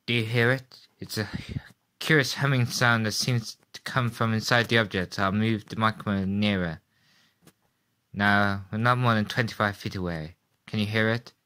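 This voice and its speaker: A man playing an excitable radio reporter in an old-style radio play, giving a dramatic, halting eyewitness account. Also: on the low side, smooth. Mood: tense, curious. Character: excitable male radio reporter, live eyewitness, awed and horrified.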